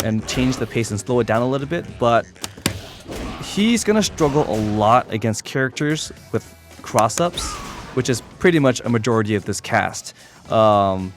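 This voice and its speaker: A man giving an unscripted fighting game tutorial in a casual, explanatory and somewhat hesitant way. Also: mid-range voice. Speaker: competitive gamer demonstrating a character.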